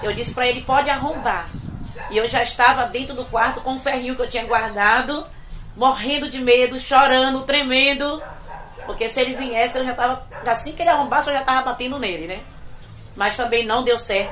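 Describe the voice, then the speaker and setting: Woman from bahia telling her own story, earnest and reflective, a bit high voice, middle aged. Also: a little fast, a little hiss or hum. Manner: earnest, reflective, often trails off quietly. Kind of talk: personal testimony.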